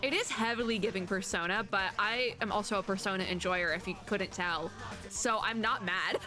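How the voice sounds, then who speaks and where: A woman doing casual reaction commentary as a VTuber, chatty, expressive and slangy. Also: mid-range voice, normal speed, music in the background.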